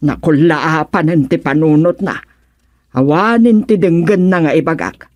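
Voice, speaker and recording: A woman acting in a Filipino radio serial as a devout, exasperated niece, with a Filipino accent and a plaintive, expressive way of talking. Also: sits low, a little slow, no room sound, clean studio sound. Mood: dismayed, complaining. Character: devout, exasperated niece worried about a wayward uncle.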